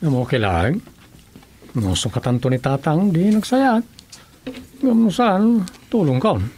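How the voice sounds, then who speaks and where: Actor as lively, easygoing village man. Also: a little high, a little slow, a little hiss or hum. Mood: explaining. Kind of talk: Ilocano radio drama acting, conversational.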